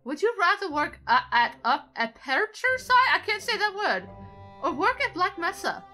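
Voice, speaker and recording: A woman playing a composed female anime angel mentor, gently teasing and chatty in improvised parody game talk. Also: very high voice, big pitch swings, normal speed, soft background music, full character voice. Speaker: guest co-player on a gaming channel.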